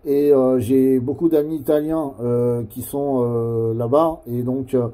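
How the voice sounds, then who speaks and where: A man's voice: mid-range voice, fairly even intonation, a little slow, mellow. A man with a French accent chatting casually over amateur radio, explaining things in a rambling, hesitant way. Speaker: amateur CB radio operator who makes videos.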